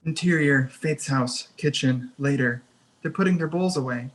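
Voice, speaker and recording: A man's voice: on the high side, moderate pace, low-quality recording. A man reading stage directions as the narrator of a screenplay table read.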